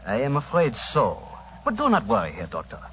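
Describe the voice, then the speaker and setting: An actor playing a falsely reassuring, quietly menacing doctor, polite and deliberate, in 1940s radio drama style. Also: male voice, medium pitch, huge pitch swings. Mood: smug, soothing. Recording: noisy, dull 1940s radio recording.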